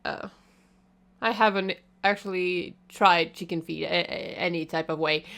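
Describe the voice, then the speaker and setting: A woman talks casually over a video she is watching, thinking aloud with hesitant, musing remarks. Her voice is smooth and clear. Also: fairly low pitch, fairly even intonation, measured pace, a bit bright. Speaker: reaction video host. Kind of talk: casual reaction commentary.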